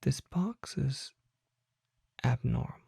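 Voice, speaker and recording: Slow, breathy whispering vampire voiced by an ASMR performer. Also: medium pitch, animated, mellow, dry recording, clean studio sound, full character voice. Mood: hushed, mysterious. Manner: slow, deliberate, measured pauses, formal wording. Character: mysterious, learned vampire apothecary showing magical treasures. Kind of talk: whispered ASMR roleplay, slow scripted monologue. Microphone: close microphone.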